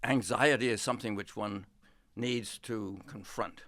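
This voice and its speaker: An older man from England, a history professor, recalling his life in a relaxed interview with an unhurried, reflective manner. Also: on the low side, no room sound, studio quality. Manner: unhurried, reflective storytelling with many pauses.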